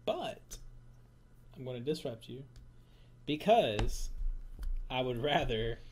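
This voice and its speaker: Hoarse man trash-talking over a card game. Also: mid-range voice, sing-song, slow, darkish tone, a little hiss or hum. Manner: teasing, swears often, laughs a lot. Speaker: card game player, channel host. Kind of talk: casual banter during tabletop card game.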